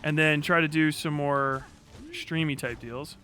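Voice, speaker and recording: A man's voice: on the high side, steady tone, unhurried, bright, forceful tone. A man chatting casually on a livestream as he plays a video game, rambling in slangy, profane language. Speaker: live streamer.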